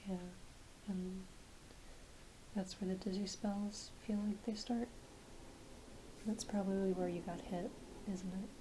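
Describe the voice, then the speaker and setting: A woman playing a gentle, reassuring doctor in an ASMR roleplay, speaking softly and hesitantly, often dropping to a whisper. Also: medium pitch, fairly even intonation, natural voice. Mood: calm, attentive. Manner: hesitant, unhurried, apologetic and reassuring. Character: gentle, reassuring female doctor examining a werewolf patient. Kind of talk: soft-spoken ASMR medical roleplay. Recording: constant soft background hiss.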